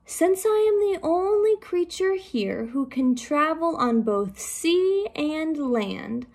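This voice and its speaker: A proud old turtle from a children's storybook, acted out by a woman. The voice is gravelly and lifted high, with a slow, self-important delivery that is eager to win the job. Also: animated, a little mellow, heavily acted. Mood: boastful, eager.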